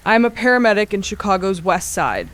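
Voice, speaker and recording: A woman playing a paramedic who phones a radio show in a war drama, giving a grave, measured eyewitness account. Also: medium pitch, big pitch swings, a bit bright. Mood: grim, measured. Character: grim, weary female paramedic witnessing a city siege. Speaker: radio caller.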